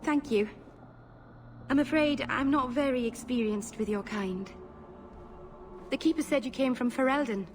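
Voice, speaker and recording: A woman's voice: sits high, a little slow, pauses often, soft background music. A woman voicing an earnest young female elf mage in a video game, hesitant and apologetic, tending to babble and interrupt herself. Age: young adult.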